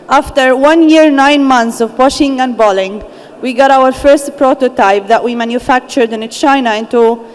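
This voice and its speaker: A young woman, a founder speaking on a conference panel, earnest and measured, pausing to find her words. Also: fairly high pitch. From Gaza, Palestine. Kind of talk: personal story told on a conference panel. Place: large conference hall. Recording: echoey conference hall with faint ambience.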